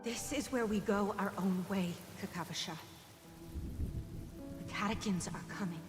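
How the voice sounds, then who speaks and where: A woman voicing a resolute, protective older sister in a video game, solemn and deliberate. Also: medium pitch, close to an everyday voice. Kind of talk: dramatic video game voice acting. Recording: long echo, loud music and rain. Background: loud game music, rain.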